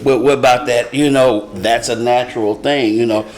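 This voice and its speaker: Guy hosting a chat show, average pitch, asks questions, agreeable, kinda halting. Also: fairly even intonation. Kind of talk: casual panel discussion.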